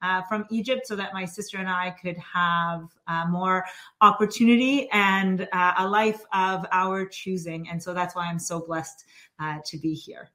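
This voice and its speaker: A woman's voice: fairly low pitch, steady tone, unhurried. A woman from Canada, a financial planner and author, telling personal stories as a guest on a remote interview, with frequent laughter and many fillers. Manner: warm storyteller, laughs often, many fillers. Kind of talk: podcast interview.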